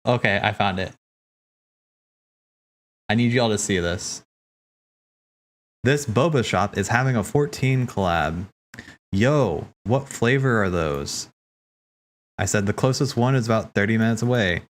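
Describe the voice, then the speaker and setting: Low-voiced man casually reacting on stream. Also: unhurried, long pauses. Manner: animated, slangy teasing. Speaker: streamer hosting a watch party. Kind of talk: casual live reaction chat. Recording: game music playing behind.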